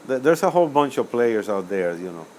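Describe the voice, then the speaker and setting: An older man from Cuba, a musician answering interview questions in a rambling, hesitant way with many pauses and self-corrections. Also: medium pitch, big pitch swings, darkish tone, slight background noise, low-quality recording. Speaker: musician guest.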